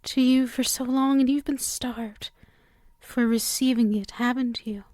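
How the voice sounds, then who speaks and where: Woman playing a slow, high-voiced, clingy demon girl. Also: fairly even intonation, no echo, clean studio sound, close to an everyday voice. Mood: relieved, adoring. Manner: soft, breathy, often dropping to a whisper. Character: clingy, obsessively devoted sealed female demon. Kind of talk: ASMR roleplay, intimate monologue addressed to listener.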